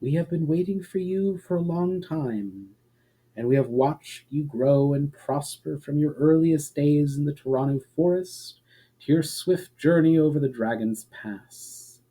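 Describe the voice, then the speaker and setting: A serene and powerful elven moon priestess, as a male narrator puts her on. The delivery is stately and solemn, in a mellow, soft-edged tone for a fantasy tale. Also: fairly high pitch, measured pace, husky, low-quality recording. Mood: gracious.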